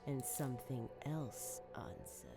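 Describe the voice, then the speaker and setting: Low, slow, haunted woman, played by an actress. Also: dark tone, faint music behind, full character voice. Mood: grave, haunted. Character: solemn fallen believer recalling her girlhood faith. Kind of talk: dark audio drama monologue.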